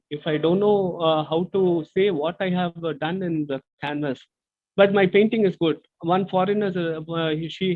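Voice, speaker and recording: A man from India, explaining earnestly as an interview guest over a video call, full of hesitant fillers. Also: high-pitched. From South India. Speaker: guest artist. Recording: tinny phone-like call audio.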